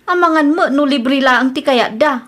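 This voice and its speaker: A self-justifying young Ilocano niece in a radio comedy-drama. Her voice is very high and insistent, with a whiny, protesting manner and a Filipino accent. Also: moderate pace, fairly bright tone. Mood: defensive.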